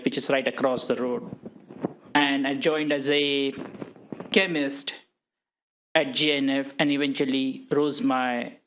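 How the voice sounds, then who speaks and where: Man, panelist, hesitant, dull recording. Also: medium pitch. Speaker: panelist, chemist. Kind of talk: career panel talk.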